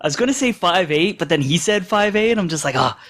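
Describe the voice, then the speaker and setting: A man's voice: high voice, expressive, fast talker, fairly bright tone. Casual, joking man in a game chat. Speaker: tabletop game player on a podcast.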